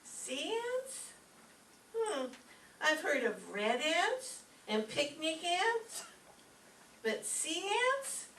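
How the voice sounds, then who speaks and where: A woman's voice: high voice, huge pitch swings, very slow, pauses often, fairly bright tone, full character voice. A woman playing a dim-witted, earnest and literal-minded young woman in an old-time radio comedy. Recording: echoey room, distant mic, faint noise.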